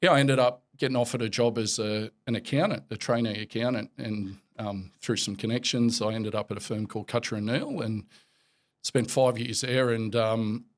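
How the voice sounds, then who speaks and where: An Australian man in his forties, a podcast guest talking in a relaxed, rambling way with lots of fillers and restarts. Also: medium pitch, normal speed. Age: 40s. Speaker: podcast guest, company executive. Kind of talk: casual interview chat.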